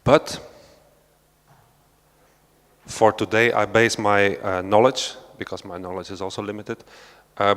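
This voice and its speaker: A man's voice: fairly low pitch, fairly bright tone. Hesitant Belgian man in a reverberant hall. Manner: hesitant, many fillers and restarts, non-native phrasing. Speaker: panel speaker, researcher. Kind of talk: unscripted talk at a panel discussion. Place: large hall of an art centre.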